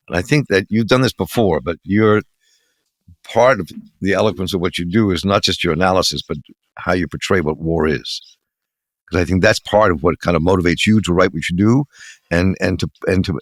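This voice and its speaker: Deep-voiced earnest male host, dry sound. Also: dry recording. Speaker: interview host. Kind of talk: interview, reading a passage aloud.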